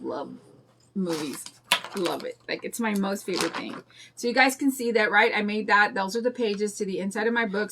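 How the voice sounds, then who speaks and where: An older woman with a raspy voice and a drawl, chatting in a rambling way and greeting viewers while she demonstrates a craft on a livestream. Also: mid-range voice, noisy background. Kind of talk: casual chat during a craft demo.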